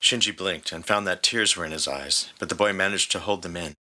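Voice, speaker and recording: A man's voice: low voice, fairly quick, pushed and bright. A man reading a scripted story as a dramatic narrator, with music underneath. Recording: prominent music bed.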